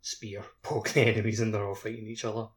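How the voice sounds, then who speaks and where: Male podcast co-host, lowish pitch, informal gamer chat. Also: flat delivery, fast talker, breathy edge. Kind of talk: casual chat about a video game.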